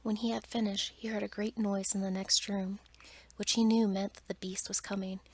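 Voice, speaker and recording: A woman reading a bedtime story aloud in ASMR style, in a soft, breathy whisper with careful, hushed delivery. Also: medium pitch, level tone, moderate pace.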